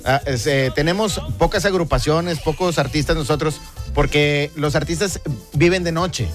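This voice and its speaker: A man co-hosts a morning radio show, stumbling through restarts and fillers. His voice is a little higher than average. Faint music sits under the talk. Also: moderate pace. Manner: hesitant, full of restarts and fillers. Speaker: radio co-host. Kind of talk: casual radio chat.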